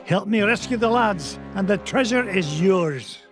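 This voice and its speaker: A gruff, miserly old duck with a thick Scottish burr. His voice sits high and he talks brusquely in a game cutscene with soft music underneath. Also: animated, measured pace. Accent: put-on Scottish. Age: older. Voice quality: brusque, blustery. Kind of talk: video game cutscene acting.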